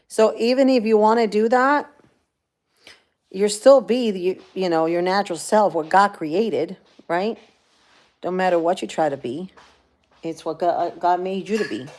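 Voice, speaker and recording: A woman's voice: mid-range voice, unhurried, darkish tone. A woman with a Cuban accent who grew up in Florida, hosting a casual livestream and rambling to her viewers as she reads their comments. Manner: rambling, talks straight to viewers, many fillers. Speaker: livestream host.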